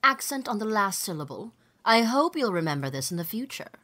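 A woman's voice: mid-range voice, big pitch swings, a little fast, bright, forceful tone. A woman voicing a haughty, affected society matron with a pompous, insistent manner in an audiobook. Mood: haughty, indignant. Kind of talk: audiobook character dialogue.

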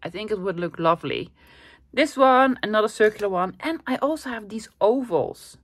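Dutch lady chatting through a craft tutorial, mid pitch, bit of a cold, dry close mic. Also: lively intonation, moderate pace, dry recording. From Netherlands. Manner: chatty, self-deprecating, lots of fillers. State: coming down with a cold, throat clearing. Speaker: crafting channel host.

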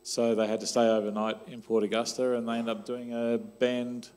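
A man's voice: mid-range voice, monotone, moderate pace, darkish tone, soft background music. Middle-aged Australian man interviewing on stage. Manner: conversational, with "um" and "sort of". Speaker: church leader interviewing a member. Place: church stage, large hall. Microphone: handheld mic.

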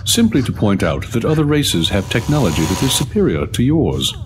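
A courteous artificial butler, acted by a man, speaks with deferential precision and takes everything literally. The medium-pitched voice moves expressively over clear background music, in a science fiction audio drama. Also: very animated, a little fast, lightly acted. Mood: polite, unruffled. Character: polite literal-minded alien station host program, butler manner. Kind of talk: sci-fi audio drama acting.

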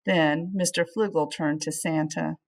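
A woman's voice: low voice, fairly even intonation, a little slow. Middle-aged woman reading a storybook aloud. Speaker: teacher reading to students. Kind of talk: expressive children's storybook read-aloud.

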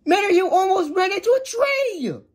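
A woman's voice: on the low side, big pitch swings, moderate pace, slightly rough, voice pushed down. A woman voicing a bossy, impatient male cartoon car who instructs and scolds as a driving teacher. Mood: exasperated.

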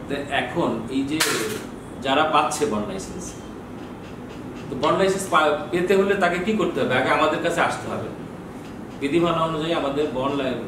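A Bangladeshi man in his sixties explaining procedures in an informal lecture, often posing questions and answering them himself. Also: on the high side. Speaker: customs bond official giving training. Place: small office with bookshelves, indoors. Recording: very echoey, distant mic, noisy room.

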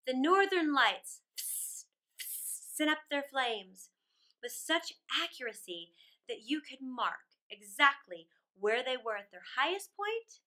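Slow, expressive high-voiced woman storyteller. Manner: deliberate, expressive, with dramatic pauses. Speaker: children's storytime reader. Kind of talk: dramatic fairy-tale read-aloud. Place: small indoor room in front of a plain wall.

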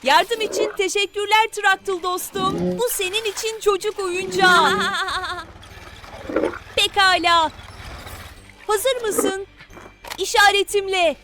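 Give the cartoon a cheerful boy ranger, with an extremely high, childlike pitch. The delivery is eager and playful but spaced out very slowly. Clear music plays underneath. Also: fairly even intonation. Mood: playful, encouraging. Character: excitable young boy ranger, cheerful and friendly. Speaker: main character of a children's cartoon. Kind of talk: animated children's cartoon dub.

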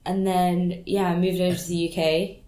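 A woman in her late twenties from Hong Kong whose first language is French, telling her story in a relaxed, easygoing way. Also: fairly low pitch, normal speed, reverberant. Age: late 20s. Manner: relaxed, laughing easily, storytelling. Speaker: guest. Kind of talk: casual interview answers.

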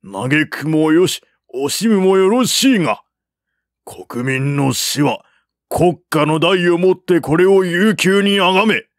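A man's voice: fairly high pitch, animated, slow pace, no room sound, studio quality, voice pushed up. A man voicing an earnest court minister who delivers lofty speeches in a grand, emphatic audiobook style. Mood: impassioned, solemn.

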